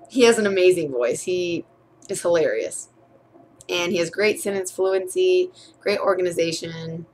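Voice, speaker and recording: A woman's voice: lowish voice, slight background noise. A young woman, a college student, talking candidly in a casual video diary about her writing, chatty with frequent fillers and restarts. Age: young adult.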